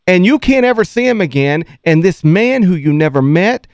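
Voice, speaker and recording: High-voiced rambling radio host. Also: male voice, lively intonation, normal speed, a little mellow, clean tone, no room sound, clean studio sound. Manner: rambling storyteller who laughs often. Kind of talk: sports talk radio banter.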